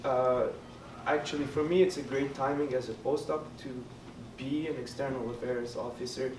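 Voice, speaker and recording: A man's voice: mid-range voice, steady tone, moderate pace. A man whose first language is French, a student election candidate giving earnest, hesitant answers at a debate. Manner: earnest, hesitant with many fillers. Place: lounge hall during a debate. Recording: roomy, distant mic, background noise.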